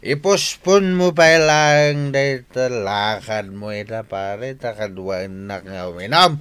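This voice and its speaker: A man's voice: on the low side, lively intonation, takes it slowly, fairly bright tone, clean tone, dry recording. An actor with an Ilocano accent playing a boastful, drunken father in a radio drama, slurring and drawling as he goes. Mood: coaxing, tipsy. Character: tipsy, boastful old father, a jolly village drunkard.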